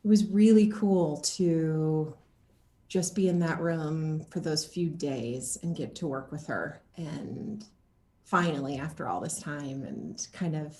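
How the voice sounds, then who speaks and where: Female interviewee, lowish voice, roomy echo. Casual, expressive actor recounting stories with lively quoted lines. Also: slow pace. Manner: animated, expressive storytelling, quoting others as she goes. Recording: echoey room.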